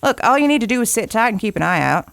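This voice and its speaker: A woman playing a gruff, folksy older park warden who gives orders in colloquial style, in an audio drama. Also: fairly high pitch, very animated, fast talker, no echo. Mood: firm, instructing.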